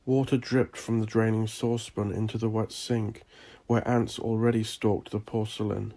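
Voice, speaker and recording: A man reading dark fantasy horror as a scripted story, with a measured, deliberate delivery. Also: on the low side, level tone. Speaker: audiobook narrator.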